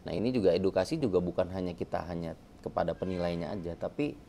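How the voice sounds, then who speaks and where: Fast-talking man, deep mellow voice. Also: creaky. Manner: animated, jokey, many fillers. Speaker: bank internal appraiser, guest. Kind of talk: casual panel talk.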